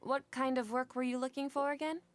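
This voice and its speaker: Actress as a high-voiced, earnest young woman. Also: fairly even intonation, a little fast, close to an everyday voice. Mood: friendly, concerned. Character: conscientious young desert-born woman scholar, kind but unsure. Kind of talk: scripted video game dialogue.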